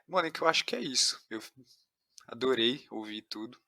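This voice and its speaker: A man's voice: mid-range voice, lively intonation, brisk, sharp tone, no echo. A man with a Brazilian accent, hosting a podcast interview in a casual, conversational style.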